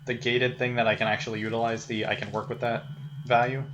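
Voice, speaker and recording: A fast-talking man with a bright, mid-pitched voice takes part in a tabletop game. He is casual and matter-of-fact, often asking questions. Background noise is audible. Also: little pitch movement, highs cut off. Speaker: player. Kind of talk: casual tabletop role-playing chat. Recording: clear background noise with ambience.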